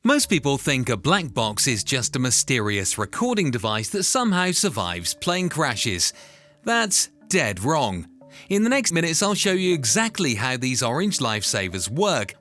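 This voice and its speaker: A man delivers scripted explainer narration with dramatic emphasis and a lively, swinging intonation. His voice sits fairly high, and he builds suspense as he goes, with music underneath.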